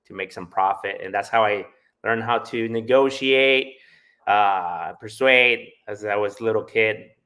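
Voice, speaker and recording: A man's voice: lowish voice, pushed and bright. Spanish-accented man, emphatic motivational talker. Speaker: solar sales entrepreneur, podcast guest. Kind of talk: casual live podcast talk.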